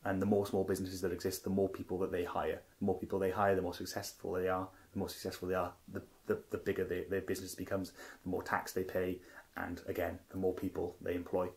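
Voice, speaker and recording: A man's voice: low-pitched, completely flat delivery, fast talker, a little mellow. A young man from Wales, a parliamentary candidate answering radio interview questions earnestly, often restarting his sentences.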